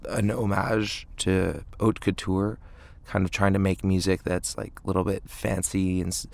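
Deep, smooth-voiced man in his late twenties. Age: late 20s. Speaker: indie band frontman, interview guest. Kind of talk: casual interview chat.

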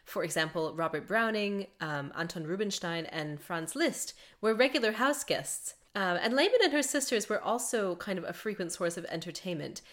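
A woman's voice: mid-range voice, lively intonation, moderate pace, hardly any pauses, fairly bright tone. Chatty woman podcast host with laughter. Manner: chatty, laughs often between facts. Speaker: podcast host and mezzo-soprano. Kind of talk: conversational podcast storytelling.

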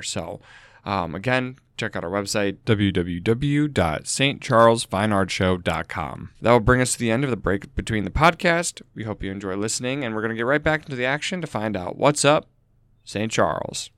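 Guy doing podcast announcements, kinda gravelly from a sore throat, lowish voice, dry close sound. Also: fairly bright tone, no room sound. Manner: upbeat, promotional, frequent ums. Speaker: podcast host. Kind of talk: casual podcast chat and read announcements.

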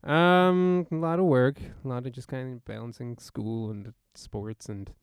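A man's voice: medium pitch, fairly even intonation, unhurried, clean tone, no room sound, studio quality. A young adult man co-hosting a podcast, tossing wry, joking asides into casual talk. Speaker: podcast co-host. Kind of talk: casual banter.

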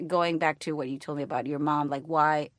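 Woman, Spanish native speaker, earnest, restarts sentences, lower voice, dry mic. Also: fairly even intonation, brisk, no room sound. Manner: earnest, searching, repeats and restarts phrases. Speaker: podcast co-host. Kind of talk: casual chat.

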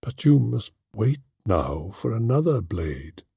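A man voicing a slow, stern noblewoman. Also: lowish voice, very animated, gravelly, dark tone, slightly rough, no echo, clean studio sound, full character voice. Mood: cool, firm. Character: stern, cool noblewoman and mother, exacting. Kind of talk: audiobook character voice.

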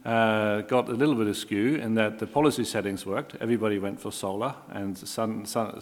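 A man with a German accent speaking emphatically on a discussion panel, with ironic asides. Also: fairly low pitch. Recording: long hall reverb.

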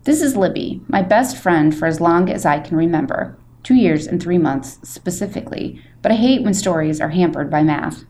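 A woman's voice: fairly low pitch, animated, moderate pace, hardly any pauses, a little mellow. Woman reading as chatty, theatrical young boy narrator. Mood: wry, theatrical. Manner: chatty, self-deprecating, theatrical. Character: short, wisecracking, dramatic eighth-grade boy narrator. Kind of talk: expressive first-person audiobook-style reading. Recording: faint background noise.

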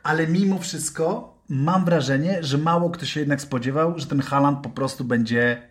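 A man's voice: a little high, normal speed. A man talking casually as a football pundit in a podcast discussion.

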